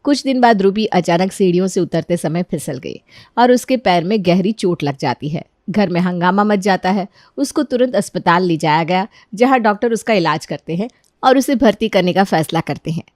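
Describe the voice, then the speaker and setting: A woman's voice: on the low side, animated, normal speed, a little mellow, no room sound, clean studio sound. A woman reading scripted narration as the storyteller of a moral tale.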